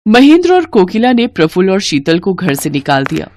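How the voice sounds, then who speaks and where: A woman's voice: medium pitch, very animated, a little fast, darkish tone, dry recording, clean studio sound. A woman storyteller reading scripted narration for a moral storybook tale.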